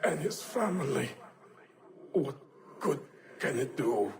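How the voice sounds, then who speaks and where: An actor playing a grave, brooding, philosophical man in a video game, with a gravelly voice. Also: medium pitch, animated, takes it slowly. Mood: grave, intense. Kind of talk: dramatic video game acting.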